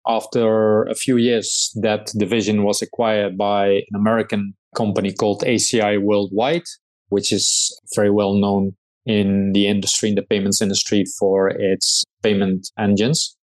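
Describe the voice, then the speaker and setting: A middle-aged man from the Netherlands with a Dutch accent, a startup founder answering interview questions in a measured way with frequent hesitations. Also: on the low side, flat delivery.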